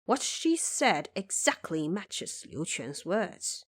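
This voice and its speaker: Woman acting stately, low-toned emperor. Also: very animated, slow, husky, full character voice. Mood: calm. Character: dignified Chinese emperor, a measured, curious male ruler. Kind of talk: audio drama character acting.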